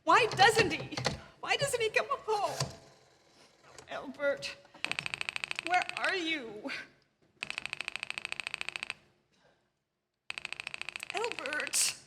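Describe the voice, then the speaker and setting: An actress playing a nervous, demanding bedridden wife in a theatrical radio suspense drama, querulous and melodramatic. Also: female voice, very high-pitched, animated, slow, pauses often, heavy background noise, exaggerated voice. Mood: frantic, desperate. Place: theatre stage. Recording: live theatre stage, long hall reverb.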